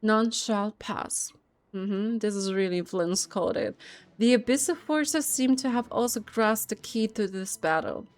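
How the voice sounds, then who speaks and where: A woman streaming a game, reading text aloud and reacting casually with a giggly, expressive manner. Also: mid-range voice, measured pace.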